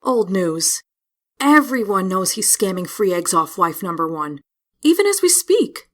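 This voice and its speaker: An actress playing a sharp-tongued, foul-mouthed young princess in a comic dub, with a sarcastic way of talking. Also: female voice, medium pitch, sing-song, a little slow. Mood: gossipy. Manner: sarcastic, profane.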